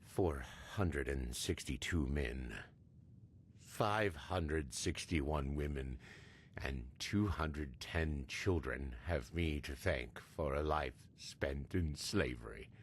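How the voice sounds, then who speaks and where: Slow, extremely deep, grim slaver captain. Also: male voice, a little hiss or hum, full character voice. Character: doomed slaver sea captain, grim male confessor. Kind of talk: dramatic game voice-over of a confession.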